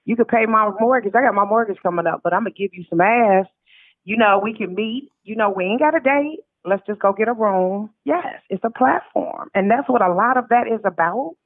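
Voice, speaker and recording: Female interview guest on a narrow phone connection, middle-aged, American accent. Candid, colloquial, emphatic storyteller, medium pitch. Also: brisk, dry recording. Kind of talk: casual conversational storytelling. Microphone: phone call. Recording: narrow, tinny phone line.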